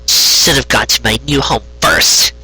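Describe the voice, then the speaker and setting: A man's voice: mid-range voice, a little slow, pushed and bright, slightly rough, full character voice, low-quality recording. Man playing a snippy, sarcastic young female unicorn magician. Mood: sarcastic, irritated. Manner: accusing, dry, snippy.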